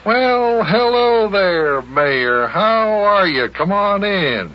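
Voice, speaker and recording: A man's voice: high voice, extremely slow, heavily acted. An actor playing a hearty, patient, folksy grown man who also narrates an old-time children's radio serial. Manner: animated, folksy, storytelling. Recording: muffled old radio broadcast recording.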